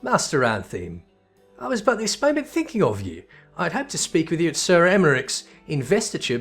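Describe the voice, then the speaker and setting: A man's voice: fairly high pitch, pitched above the performer's own voice. A man voicing a courtly noble from a fantasy game, speaking formally with earnest, solemn gratitude. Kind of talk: character voice reading video game dialogue.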